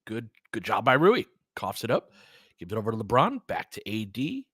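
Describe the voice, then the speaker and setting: Casting: American male sports streamer, bright forceful voice, close dry sound, informal play-by-play mixed with chat. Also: lowish voice, wildly swooping pitch, normal speed, breathy edge, dry recording. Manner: conversational, reacting to chat comments. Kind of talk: casual live sports commentary and chat.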